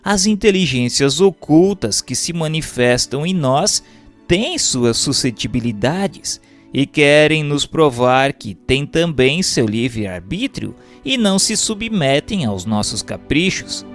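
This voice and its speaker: A man's voice: fairly high pitch, animated, slow. A man with a Brazilian accent reading a book aloud as formal, didactic scripted narration, enunciating carefully. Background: music.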